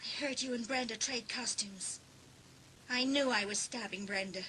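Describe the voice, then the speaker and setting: Resentful, unhinged teen girl for horror audiobook; piercing bright tone, volatile melodrama, faint noise behind. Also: fairly high pitch, normal speed, rough recording. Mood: menacing. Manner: melodramatic, swinging from hissed whispers to shrieks. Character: unhinged, bitterly resentful teenage girl, vengeful and hysterical. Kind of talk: dramatised audiobook acting.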